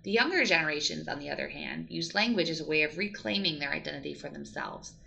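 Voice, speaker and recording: A woman hosting, asking interview questions and giving measured explanatory narration. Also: sits low, expressive, gravelly, bright, forceful tone, low-quality recording. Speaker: podcast host and interviewer.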